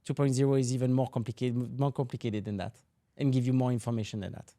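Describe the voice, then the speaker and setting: French-accented middle-aged academic man, explanatory podcast guest, plain mid-pitched voice, loose phrasing. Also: little pitch movement, quick pace, warm tone. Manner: explanatory, loose non-native phrasing with restarts. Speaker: marine ecology professor, podcast guest. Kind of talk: podcast interview.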